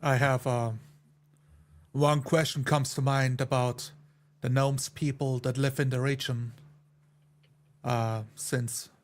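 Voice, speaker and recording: A man takes part in a tabletop roleplaying game. His mid-pitched voice stays very level, and he delivers his character's words and actions in a measured, deliberate manner. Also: flat delivery. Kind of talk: in-character roleplay with third-person narration.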